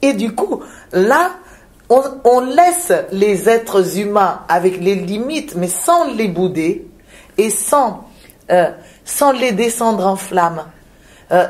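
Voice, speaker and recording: Woman from Madagascar, unhurried delivery, halting and searching for words, reflective interview guest, mid-pitched voice. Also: expressive, darkish tone. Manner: slow, searching, with frequent hesitations and repeated words. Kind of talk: reflective spiritual interview talk.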